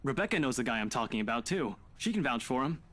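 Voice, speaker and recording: A man's voice: mid-range voice, sing-song, fast, a bit bright. An actor playing a cocky, skeptical young man in a visual novel game, glib and teasing. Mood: persuasive.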